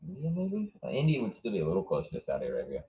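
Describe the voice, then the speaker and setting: A man's voice: low-pitched, huge pitch swings, racing. A young American man from southwest Virginia reacting live to a match as a stream host, rambling casually and thinking aloud as he answers chat. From southwest Virginia, United States. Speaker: livestream host. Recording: dull, echoey room sound.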